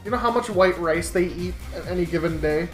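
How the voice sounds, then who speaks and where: A man's voice: sits high, brisk. A man co-hosting a gaming show, riffing in casual, crude, joking chat. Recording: roomy echo, faint music behind.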